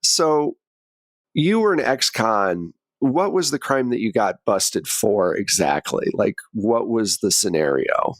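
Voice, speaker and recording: A man's voice: lowish voice, lively intonation, takes it slowly, no room sound. A man hosting and narrating a tabletop game in a conversational style as game master.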